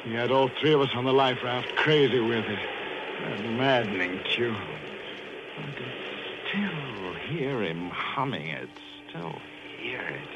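A man's voice: medium pitch, lively intonation, slow pace. An actor playing a desperate male killer on a life raft in a 1940s radio drama, tense and haunted. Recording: noisy dull 1940s radio broadcast.

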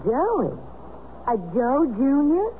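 A woman's voice: high voice, very animated, takes it slowly, full character voice. An actress playing a bold, knowing single woman who flirts and needles, in 1970s radio drama style. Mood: needling. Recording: muffled, noisy old radio broadcast recording.

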